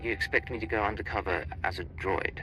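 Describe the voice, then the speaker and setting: A hardened, dry-witted agent in a game cutscene. The voice is lowish with a very sharp, forceful edge. He speaks tersely and resolutely, with faint music behind. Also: a little fast, rough recording. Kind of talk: scripted game cutscene acting.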